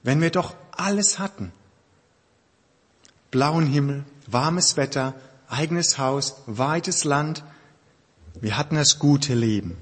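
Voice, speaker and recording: A man preaches in a relaxed, conversational manner, stopping now and then to search for words. His voice is slightly husky. A long reverb trails behind him, as in a large church. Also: a little high, slow, long pauses. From Germany. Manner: thoughtful, conversational preaching with frequent hesitations. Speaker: pastor. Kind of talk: sermon.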